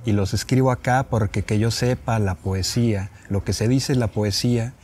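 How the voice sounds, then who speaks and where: A man's voice: fairly low pitch, fairly even intonation, normal speed, a little mellow, slightly rough. A young man with a northern Mexican accent, a poet introducing and reciting his own work on an open patio. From Chihuahua, Mexico. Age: young adult. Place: open patio with wooden furniture.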